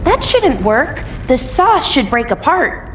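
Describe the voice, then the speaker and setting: High, swooping, awkward heroine. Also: female voice, sing-song, a little hiss or hum, full character voice. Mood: puzzled. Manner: halting, hesitant. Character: flustered, awkward, sweet female fantasy companion. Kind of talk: game cutscene acting. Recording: loud game music underneath.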